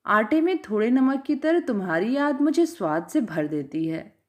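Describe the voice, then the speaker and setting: A woman's voice: a little high, sing-song, moderate pace, a little mellow. A woman voicing a poetic, smitten young male officer who speaks in flowery lover's lines, in her own reading voice. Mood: tender.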